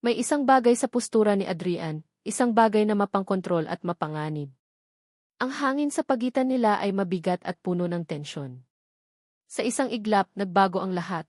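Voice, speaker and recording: A woman narrating a scripted romance story in a steady, measured way. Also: mid-range voice, no room sound, studio quality.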